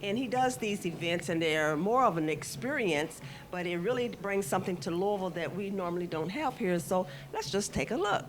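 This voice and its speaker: A woman's voice: on the low side, animated, brisk, hardly any pauses, a little hiss or hum. A woman hosting a local TV talk show, interviewing guests in an upbeat, enthusiastic manner. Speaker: TV show host. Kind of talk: friendly interviewing.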